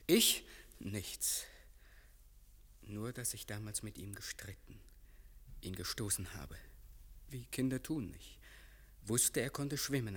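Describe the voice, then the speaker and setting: A man acting in a German radio play as an anxious, guilt-haunted young gentleman, timid and childlike, with a husky voice. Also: fairly low pitch.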